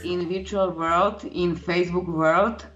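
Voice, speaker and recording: A woman from Slovakia with a Slovak accent, a guest talking earnestly in halting English with many fillers. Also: on the low side, fairly even intonation, dull audio, faint music behind. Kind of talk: casual interview chat.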